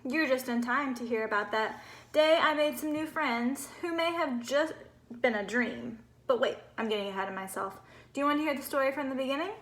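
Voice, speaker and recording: Female reader as naive, cheerful boy snowman for a storybook: high-pitched, expressive, bubbly, innocent. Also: brisk. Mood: eager, friendly. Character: cheerful, naive little male snowman telling his story.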